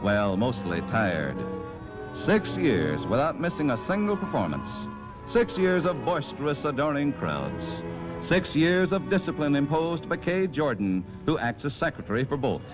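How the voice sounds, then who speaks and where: A man narrating as announcer in scripted 1940s radio style, with dramatic, deliberate storytelling. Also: mid-range voice, sing-song, low-quality recording. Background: music.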